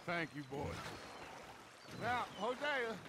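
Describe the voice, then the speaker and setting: An actor playing a grandiose, genial outlaw gang leader in a Western video game, theatrical and self-assured. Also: male voice, high voice, big pitch swings, slow, exaggerated voice. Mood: jovial. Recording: loud water and boat noise.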